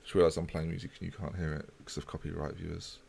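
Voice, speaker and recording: A man's voice: sits low, racing, vocal fry, slightly rough. A man casually chatting with his live-stream viewers while playing a football management game. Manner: relaxed, chatty, talks to chat while playing.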